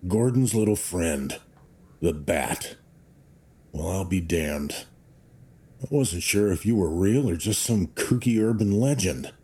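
An actor playing a gruff, irritable, whiskey-soaked politician in an audio drama, groggy and blustering in colloquial speech. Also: male voice, low voice, animated, slow pace, long pauses, dark tone, heavily acted. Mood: irritable, bemused.

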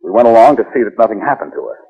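A man's voice: fairly low pitch, very animated, racing, creaky, breathy edge, heavily acted. A man playing a cool, wisecracking private detective in a 1940s radio drama, terse and wry with a commanding way of talking. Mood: exasperated. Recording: dull, muffled 1940s radio recording.

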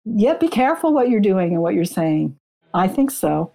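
A woman author answering interview questions in a measured, explanatory way. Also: mid-range voice, big pitch swings, vocal fry, mellow. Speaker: author, podcast guest.

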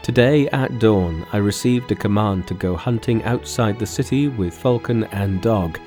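A man voices a young prince from a storybook, grave and earnest. He speaks in formal phrases with forceful urgency, entreating his royal mother. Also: lowish voice, moderate pace, soft background music, lightly acted. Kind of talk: storybook dialogue in a narrated folk tale.

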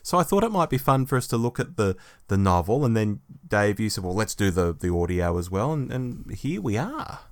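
A man's voice: lowish voice, big pitch swings, brisk, no room sound, clean studio sound. An Australian man in his forties co-hosting a podcast in a casual, reflective, conversational style. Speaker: podcast co-host. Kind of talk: casual chat, discussion.

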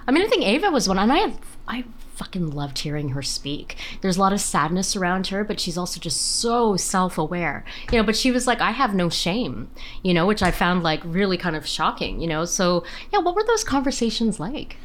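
A woman in her forties hosting a podcast, chatty and expressive, sometimes laughing as she asks questions. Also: medium pitch, fairly quick. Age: 40s. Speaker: podcast host. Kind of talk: casual podcast interview.

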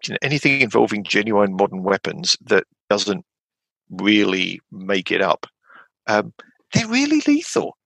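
A middle-of-the-range male voice with a gravelly, slightly husky texture, belonging to a man in his fifties. He rambles through casual conversation with frequent fillers and restarts, his pitch springing up when something excites him. The sound is dry and close to the mic. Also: a little slow, no echo, low-quality recording. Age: 50s. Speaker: podcast guest. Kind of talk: casual chat about tabletop games.

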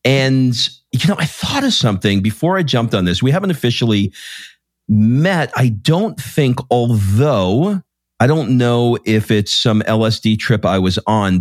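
Lively enthusiastic male podcast host. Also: mid-range voice, dry recording, studio quality. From Queens, New York. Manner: enthusiastic, chatty. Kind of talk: casual interview chat.

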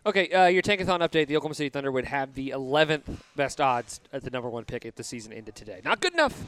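A man in his twenties with a high-pitched voice, hosting a casual sports talk podcast. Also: animated, very fast, fairly bright tone, clean tone. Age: 20s. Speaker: basketball podcast host.

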